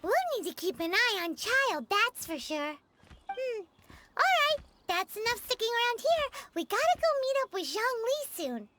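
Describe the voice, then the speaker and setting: A woman voicing a small, excitable, chatty fairy companion in a video game, with a squeaky childlike voice. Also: normal speed, a little hiss or hum, exaggerated voice. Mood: eager. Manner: speaks of self in third person, exclamatory. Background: game music.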